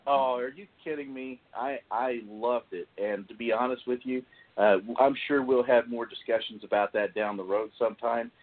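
A man hosting a podcast discussion, speaking haltingly and often repeating his words. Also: mid-range voice, fairly even intonation. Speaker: podcast host. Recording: tinny phone-like call audio.

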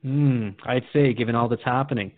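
A man's voice: medium pitch, fairly even intonation, moderate pace, no echo. A man hosting a radio show in a conversational, earnest and reflective way. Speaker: radio host. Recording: dry, phone-like narrow band.

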